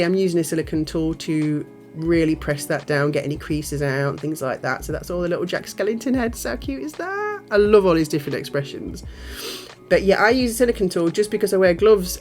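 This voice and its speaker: Female tutorial host, low and nasal, stuffed up with a cold, chatty with giggly asides. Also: a little mellow. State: has a cold. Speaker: nail art channel host. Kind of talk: casual tutorial narration.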